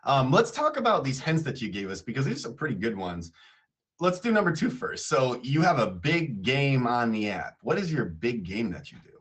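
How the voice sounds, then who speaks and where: A man's voice: mid-range voice, sing-song, normal speed, fairly bright tone. A man hosting a weekly app update show, upbeat and chatty, laughing often. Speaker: show host. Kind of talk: livestream announcement show hosting.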